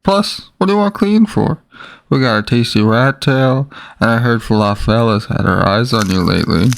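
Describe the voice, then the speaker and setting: A man voicing a blunt, folksy, streetwise male pigeon with a gravelly voice in an animated short. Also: medium pitch, moderate pace. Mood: pushy, casual. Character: streetwise, pushy male alley pigeon, older buddy type.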